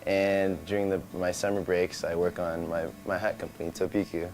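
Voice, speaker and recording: Low-voiced young American man. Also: little pitch movement, loud music behind the voice, poor audio. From California. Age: young adult. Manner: halting, with many restarts, mixing in Indonesian words. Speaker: founder of a hat company. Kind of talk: casual interview talk.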